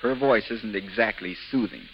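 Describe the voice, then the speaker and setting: Actor as a bantering patient on crackly old radio. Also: male voice, on the low side, moderate pace, lightly acted. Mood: playful. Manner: bantering, wry. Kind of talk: 1930s radio drama acting. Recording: muffled, noisy 1930s radio recording, crackly hiss.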